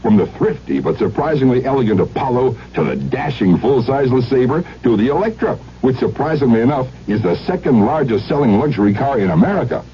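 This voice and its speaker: An actor playing a talkative, cocky know-it-all in a folksy 1970s radio ad. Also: male voice, mid-range voice, very animated, a little fast, few pauses. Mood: confident. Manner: folksy, interrupting, repetitive. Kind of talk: 1970s comic radio ad banter. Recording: dull, faintly noisy 1970s radio broadcast.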